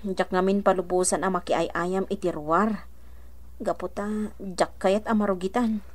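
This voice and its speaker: A woman's voice: mid-range voice, fairly even intonation, moderate pace, lightly acted. An actress playing a troubled young mother who defensively explains herself in a radio drama. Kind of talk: Ilocano radio drama acting.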